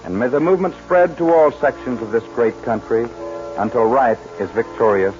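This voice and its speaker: Solemn frontier eulogist played by an actor, muffled radio. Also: male voice, medium pitch, moderate pace, darkish tone, soft background music, lightly acted. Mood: solemn, reverent. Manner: solemn, oratorical. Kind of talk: 1940s western radio acting. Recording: muffled 1940s radio recording.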